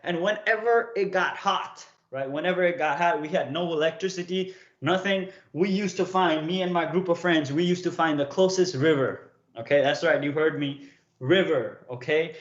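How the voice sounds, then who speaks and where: A young man from Nepal chats with his livestream audience in a relaxed, storytelling way. He keeps checking in with them as he talks. His voice sits a little high, and the sound is roomy and slightly dull. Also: moderate pace. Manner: chatty, keeps checking in with "okay?" and "right?". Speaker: streamer coaching viewers.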